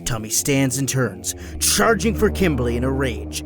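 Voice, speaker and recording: A man's voice: medium pitch, big pitch swings, normal speed, over music. A man narrating a scripted action audio drama in dramatic storytelling style.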